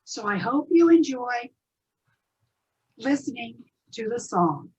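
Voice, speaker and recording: A woman's voice: on the high side, big pitch swings, takes it slowly, husky. A woman presenter giving scripted introductions to stories. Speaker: presenter introducing readers theatre pieces. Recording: dull lo-fi recording.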